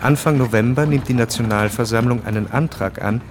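A male actor as a sober narrator chronicling the Revolution. His medium-pitched voice reads over clear background ambience in a radio drama. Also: brisk, noisy background, lightly acted.

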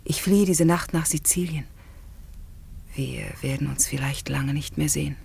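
An actress playing a proud, ambitious middle-aged Roman empress in a German radio play, haughty and accusing. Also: female voice, sits low, moderate pace, creaky, darkish tone, husky, heavily acted. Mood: bitter, shaken.

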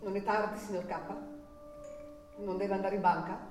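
A woman's voice: medium pitch, steady tone, moderate pace, natural voice. An actress playing an anxious, deferential, gossiping boarding-house landlady, hesitant and solicitous, in Italian radio theatre style. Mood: timid, solicitous. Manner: hesitant, solicitous, stammering repetitions. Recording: roomy, distant from mic, music behind.

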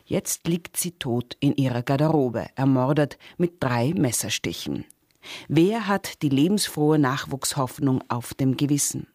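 A radio announcer reading a scripted introduction in a measured, informative manner. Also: medium pitch, darkish tone, no echo, clean studio sound.

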